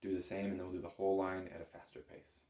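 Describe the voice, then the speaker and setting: A man with a deep voice gives an instructional lesson in a reverberant room. He is patient and informal, guiding learners one step at a time. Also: monotone, fast talker, mellow. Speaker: bagpipe instructor. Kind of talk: instructional music lesson to camera. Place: indoor room, echoey. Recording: echoey room.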